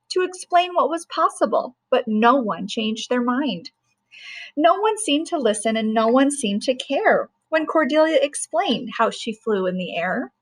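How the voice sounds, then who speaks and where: Woman, middle-aged, high-pitched, reads rhyming picture book gently and rhythmically. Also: low-quality recording. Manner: gentle, sing-song rhyming reading. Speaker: teacher reading a bedtime story. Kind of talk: storybook read-aloud.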